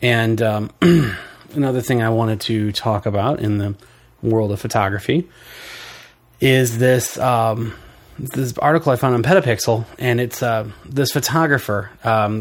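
Podcast co-host, male, wheezy with allergies, loose joking delivery with frequent chuckles. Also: medium pitch. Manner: joking, chuckles often, rambling explanations. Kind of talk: casual podcast chat.

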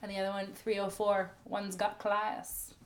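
A woman's voice: mid-range voice, completely flat delivery, normal speed. A young woman with a slight Greek accent co-hosting a casual podcast, blunt, joking and swearing freely. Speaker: podcast co-host. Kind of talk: casual chat.

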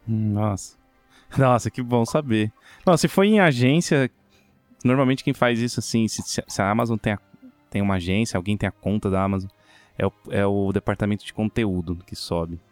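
A man's voice: lowish voice, moderate pace, no room sound, soft background music. A man with a Brazilian Portuguese accent in casual podcast chat, answering with short reactive interjections.

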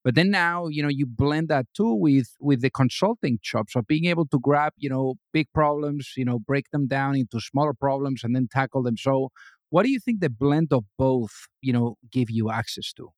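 A man's voice: mid-range voice, normal speed, dry recording. Upbeat male podcast host, dry sound. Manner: enthusiastic, lots of "you know" fillers. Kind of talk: casual interview hosting.

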